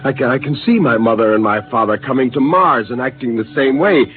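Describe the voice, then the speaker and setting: An actor playing a bitter, disillusioned astronaut who has turned rebel, speaking in an intense, brooding and defiant way in a 1950s radio drama. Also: male voice, mid-range voice, fairly quick, natural voice. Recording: hissy, lo-fi 1950s radio broadcast.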